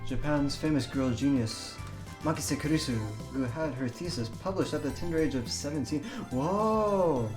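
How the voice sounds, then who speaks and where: A man's voice: a little high, moderate pace. Hesitant man streaming, music behind him. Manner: hesitant, stammering with repeated words. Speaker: live streamer reading a visual novel aloud. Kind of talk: casual live stream chat and read-aloud.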